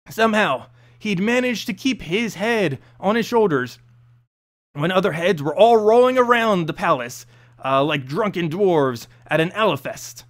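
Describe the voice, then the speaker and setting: A man narrating a fantasy story aloud in an expressive, dramatic, slightly halting reading. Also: high voice.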